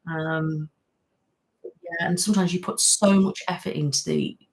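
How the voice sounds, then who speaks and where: A woman in her thirties hosts a relaxed live stream, talking in a loose, rambling way. She reads out viewers' comments and answers them as she goes. She sits close to the mic. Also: on the low side, unhurried, creaky, low-quality recording. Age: 30s. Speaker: ASMR channel host. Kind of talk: casual live-stream chat.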